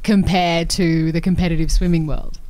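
Low-voiced woman podcast host. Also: steady tone, moderate pace, a little mellow. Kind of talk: interview questions.

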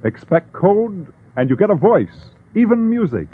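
A man's voice: high-pitched, sing-song, a little slow, breathy edge. A man narrating in an emphatic, theatrical scripted 1940s radio style. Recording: tinny 1940s radio broadcast.

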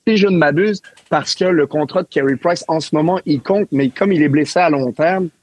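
A man on a sports panel, debating hockey trades in an animated way and often cutting in on the others. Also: fairly high pitch, brisk, no echo. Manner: animated, interrupts and talks over others.

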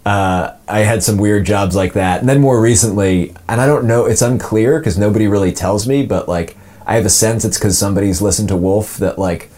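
A man's voice: lowish voice, brisk, hardly any pauses, darkish tone, slight background noise. A man in his twenties chatting casually as an interviewed voice actor, rambling and self-deprecating.

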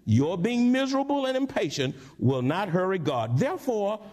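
Animated high-voiced male preacher. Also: moderate pace. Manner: preaching with sudden high-pitched asides and call-and-response. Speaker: pastor. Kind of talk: sermon. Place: church sanctuary.

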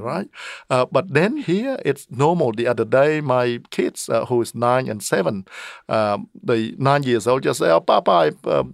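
Middle-aged man, mid pitch, halting earnest interview delivery, dry close recording. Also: gravelly, no room sound. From Cambodia. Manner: earnest, halting, with frequent "uh" pauses. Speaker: state member of parliament. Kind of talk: interview answers.

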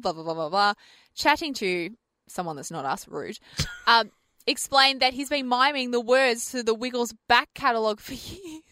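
A woman hosting a radio show, talking in an expressive, incredulous way. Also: fairly high pitch, dry recording, studio quality. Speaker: radio host. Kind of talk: casual radio banter.

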